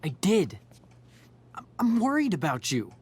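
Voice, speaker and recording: An actor voicing a jealous, worried teenage boy in a video game, pleading and accusing in an emphatic, drawn-out way. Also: sits high, wildly swooping pitch, darkish tone, breathy edge, exaggerated voice. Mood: anxious, pleading.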